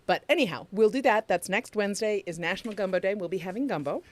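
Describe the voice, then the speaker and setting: A woman's voice: medium pitch, quick pace. A woman co-hosting a casual livestream, chatty and rambling, often laughing. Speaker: podcast co-host.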